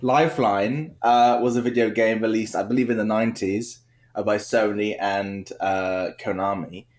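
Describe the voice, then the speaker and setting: A young British man in his twenties, rambling on a casual podcast with halting restarts and self-deprecating asides. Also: fairly low pitch, steady tone, darkish tone. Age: 20s. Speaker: quiz show panelist.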